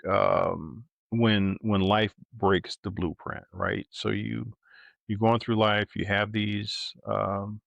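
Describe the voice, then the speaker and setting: A middle-aged man co-hosting a podcast in casual, reflective conversation, thoughtful and halting, often checking in with a questioning tag. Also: fairly low pitch, flat delivery, gravelly, fairly bright tone, no echo, lo-fi sound. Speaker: podcast co-host.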